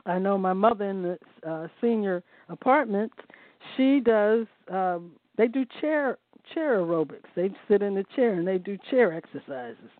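A woman's voice: mid-range voice, lively intonation, unhurried, no room sound. A woman host reads aloud from a book and comments on it in an enthusiastic, folksy way, adding churchy asides. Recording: tinny phone-like line, dry.

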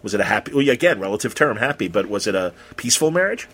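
A man hosting a casual interview, putting his questions in an informal, halting and rambling way. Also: lowish voice, sing-song, fairly bright tone.

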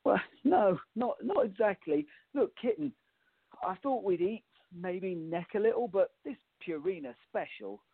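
A man's voice: high-pitched, expressive, measured pace, creaky, slightly rough, dry recording, heavily acted. A man playing a nervous, exasperated young bachelor in a farce radio play, flustered and sarcastic, on muffled, narrow-band audio. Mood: nervous, placating.

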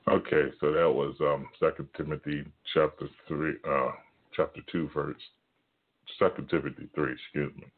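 A man with a very deep, rumbling voice giving an informal sermon at home, talking quietly with many pauses and fillers. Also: level tone, narrow, tinny audio. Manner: hushed, halting talk with many fillers. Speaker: preacher. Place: home at night, son in next room.

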